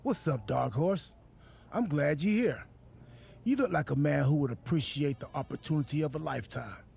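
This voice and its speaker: An actor playing a goofy, self-important wrestler turned boss in a video game cutscene, with a gravelly, husky voice and an earnest, absurdly literal, rambling manner. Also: male voice, fairly high pitch, lively intonation, moderate pace, darkish tone, slight background noise. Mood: pitching, upbeat. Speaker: wrestler acting as general manager.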